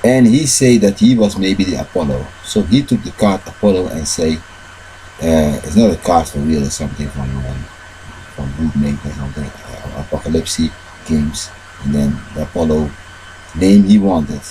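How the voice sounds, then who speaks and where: Deep, dark-voiced man telling a rambling tale. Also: slight background noise, rough recording. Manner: halting, loose grammar, frequent fillers; retells events with shifts into quoted dialogue. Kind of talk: rambling personal storytelling.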